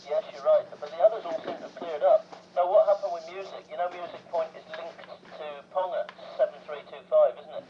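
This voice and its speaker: A man's voice: on the high side, steady tone, brisk, few pauses. A man chats casually and jokily as an amateur operator over two-way radio, often tagging statements with questions. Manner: chatty, jokey, tags statements with questions. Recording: muffled, noisy two-way radio transmission.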